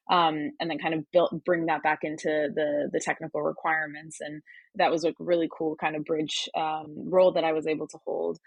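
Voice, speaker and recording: A young woman with an American accent, originally from Turkey, chatting as an interview guest. Her voice sits on the low side, and she talks in loose, looping sentences full of ums and restarts. The sound is close and dry. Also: no echo, rough recording. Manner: chatty, many fillers and restarts. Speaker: podcast guest, hardware startup advisor. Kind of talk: casual interview conversation.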